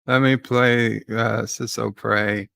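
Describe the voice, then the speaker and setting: A man hosting a live stream, chatting casually and thinking aloud in halting phrases. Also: medium pitch, flat delivery, gravelly, sharp tone, clear, resonant tone, dry recording, studio quality.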